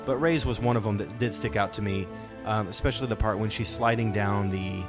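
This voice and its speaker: A man's voice: lowish voice, fairly even intonation, a little fast. A man hosting a podcast in casual pop-culture discussion, explaining his points and posing rhetorical questions. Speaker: podcast host. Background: soundtrack music playing faintly.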